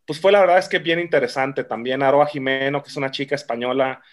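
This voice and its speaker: A man's voice: medium pitch, fast talker. Mexican man, easygoing rambling talk. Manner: relaxed, colloquial, many fillers and restarts. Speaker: film director. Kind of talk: casual interview.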